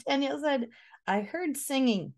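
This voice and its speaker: A woman in her thirties from Florida co-hosting a casual podcast, her voice a little hoarse, laughing often. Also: high voice, animated, moderate pace, a crowd in the background. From Florida, United States. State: slightly hoarse. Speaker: podcast co-host. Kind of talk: casual chat.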